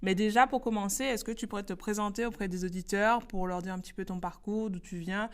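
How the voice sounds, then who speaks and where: A woman's voice: mid-range voice, steady tone, quick pace. A woman hosting a conversational podcast interview, speaking informally and encouraging her guest. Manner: encouraging, informal, frequent affirmations. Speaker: podcast host.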